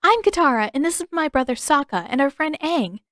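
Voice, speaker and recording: A woman plays an excitable teenage girl from an adventure story. She is friendly and headstrong, speaking up high in a close, dry recording. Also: a little fast, no echo. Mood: excited, friendly.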